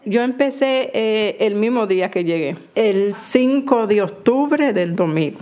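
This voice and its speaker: A woman in her sixties with a Dominican Spanish accent, giving halting interview testimony full of restarts. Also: mid-range voice, expressive, mellow. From Dominican Republic. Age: 60s. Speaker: interviewee, domestic worker.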